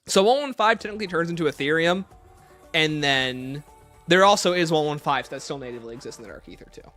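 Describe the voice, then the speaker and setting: A man's voice: on the high side, expressive, fast talker, pushed and bright, faint music behind. A man hosting a casual livestream, reading out chat questions and answering them conversationally, breaking off to greet and thank viewers. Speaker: livestream host.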